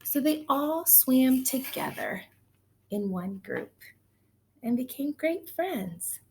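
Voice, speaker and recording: A woman's voice: high voice, very animated, slow, rough recording. A woman teaching young children in an encouraging, sing-song way, asking them questions as she counts, chants and tells simple stories.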